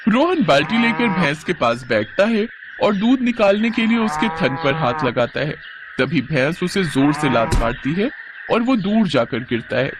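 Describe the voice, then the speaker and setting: A man's voice: fairly high pitch, very animated, normal speed, noisy background. A man narrating a scripted children's moral story.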